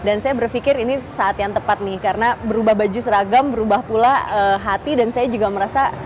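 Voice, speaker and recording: A twenty-nine-year-old woman speaking in a measured, earnest way in an interview. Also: a little high, music in the background. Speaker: interviewee, TV presenter and PR officer. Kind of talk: personal testimony interview.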